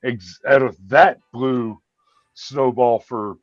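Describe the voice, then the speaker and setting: A man's voice: mid-range voice, steady tone, slow, dry recording. A man with a mid-range voice, rambling along and bragging as he chats on a live call. His webcam microphone makes him dull and lo-fi, and the sound is dry and close. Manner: chatty, boastful, rambling. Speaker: YouTube show host and video producer. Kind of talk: casual chat on a live show. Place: home, on a video call.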